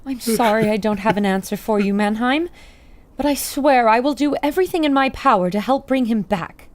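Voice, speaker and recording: A woman's voice: a little high, a little fast, close to an everyday voice. A woman playing a spirited, caring radio reporter on an expedition, confiding dramatically to listeners in a pulp adventure serial. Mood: tender.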